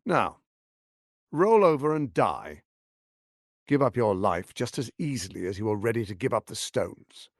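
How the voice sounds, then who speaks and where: A scheming tyrant lord from a fantasy video game, silver-tongued and smug. His pitch swoops dramatically as he savours each word at an unhurried pace. The delivery is pompous and menacing, recorded very dry and close. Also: very animated, no echo, full character voice. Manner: pompous, silky, menacing, theatrically emphatic.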